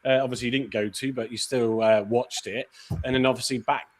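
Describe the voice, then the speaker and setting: A man hosting a football show, talking in a relaxed, chatty way with lots of fillers. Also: medium pitch, fairly quick. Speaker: podcast host. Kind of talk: casual show host chat.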